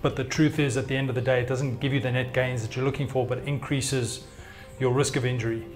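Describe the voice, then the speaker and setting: A man's voice: medium pitch, steady tone, fairly quick. A man in his thirties, a fitness coach, explaining his work in an interview to camera. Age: 30s. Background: background music.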